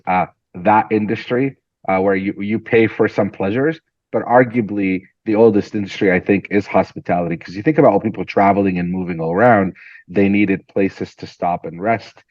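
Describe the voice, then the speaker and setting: Low-voiced syrian-born guy telling stories in an interview, kinda dull dry recording. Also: no room sound. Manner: animated storytelling, repeats words when starting sentences. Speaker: guest entrepreneur. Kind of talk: conversational interview.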